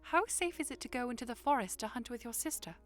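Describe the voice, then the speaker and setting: Woman acting a high-voiced playful young princess. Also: animated, fast, soft background music, close to an everyday voice. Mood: cheeky. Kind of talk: audiodrama acting.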